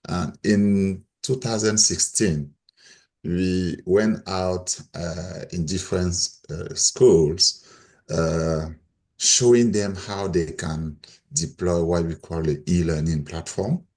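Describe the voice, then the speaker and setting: A man's voice: low-pitched, slow pace, a little mellow. A middle-aged man with a Cameroonian accent, sharing personal stories as a panel guest. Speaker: panel guest, volunteer mentor.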